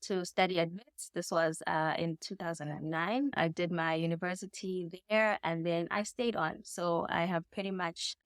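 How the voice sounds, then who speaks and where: A woman's voice: fairly low pitch, steady tone, normal speed, few pauses, no echo, studio quality. A woman in her thirties with a Zambian accent, giving casual, reflective interview answers in carefully chosen words. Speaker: interview guest. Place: indoors in a home.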